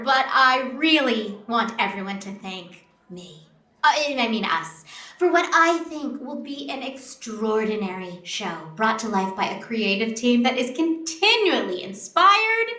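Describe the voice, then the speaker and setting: An actress playing a self-important musical diva giving a grand, self-regarding speech in a comedic audio drama. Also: female voice, a little high, sing-song, measured pace, pushed and bright, exaggerated voice. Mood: grandly gracious. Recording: echoey room with music.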